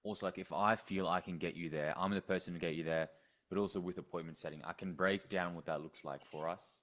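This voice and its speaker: A man with a deep, very low voice talks as a coach in a casual sales conversation. His phrasing is informal, with short words of agreement. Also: fairly even intonation, quick pace, a bit bright. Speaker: coach taking sales calls.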